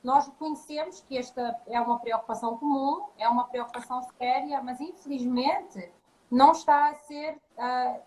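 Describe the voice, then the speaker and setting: A woman from Mozambique with speech, a naturopathic doctor talking over a live video chat, often restarting and filling pauses. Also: sits high, steady tone, darkish tone, low-quality recording. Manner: hesitant, many restarts and fillers. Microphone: video call.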